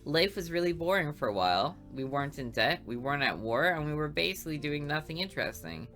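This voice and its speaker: A woman's voice: low voice, very animated, fast, fairly bright tone, soft background music, exaggerated voice. A woman voicing a smug lazy schoolboy. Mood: sarcastic. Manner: dry, smug, drawling. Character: sarcastic lazy male high school student.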